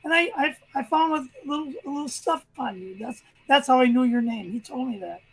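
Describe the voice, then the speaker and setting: An older man with a high voice, telling stories in a rambling, casual way as a guest on a video call. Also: lively intonation, fairly quick, fairly bright tone, a little hiss or hum, low-quality recording. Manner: rambling, restarts sentences. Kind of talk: casual chat, storytelling.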